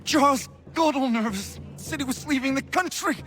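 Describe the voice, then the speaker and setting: A woman's voice: sits high, normal speed, a bit bright, faint music behind. An actress playing a startled woman threatened by an intruder, talking in a fluttery, pleading way. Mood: frightened. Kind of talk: video game cutscene acting.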